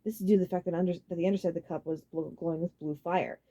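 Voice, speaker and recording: A woman reading a story aloud as an audiobook narrator, in an expressive, dramatic style. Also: lowish voice, racing, highs cut off.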